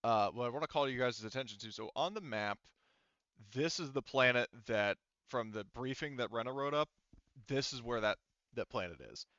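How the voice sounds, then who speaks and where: A man game-mastering a tabletop game, explaining things in a halting, rambling, casual way. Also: mid-range voice, bright, forceful tone, clean tone, dry recording, lo-fi sound.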